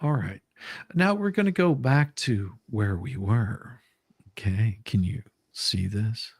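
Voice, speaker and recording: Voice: medium pitch, slow pace, slightly rough, no room sound, studio quality. A livestream host switching between a dramatic deposition read-through and informal, jokey chat.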